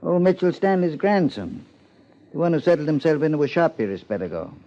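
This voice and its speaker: An actor playing a grumbling, folksy old small-town clerk in a 1950s radio drama. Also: male voice, a little high, animated, fairly quick, warm tone, lightly acted. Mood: folksy, deliberate. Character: crotchety, penniless old small-town former record keeper, male. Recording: muffled 1950s radio broadcast.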